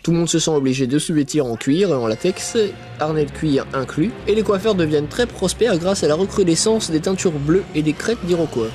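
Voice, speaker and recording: A man's voice: on the high side, fairly quick, few pauses, music in the background. A man hosting a film review show, reading scripted narration with casual, joking asides.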